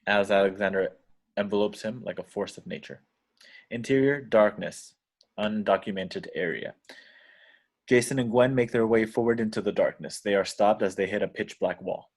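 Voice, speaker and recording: A man narrates the action of a screenplay. His voice is on the low side, and he reads stage directions as scripted narration for a table read. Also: normal speed.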